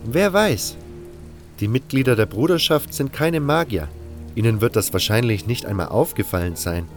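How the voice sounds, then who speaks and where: A man's voice: mid-range voice, animated, normal speed, soft background music, close to an everyday voice. A man voicing an eager, bookish young fire mage who lectures earnestly in a wordy way, in a fantasy audio drama. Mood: hopeful.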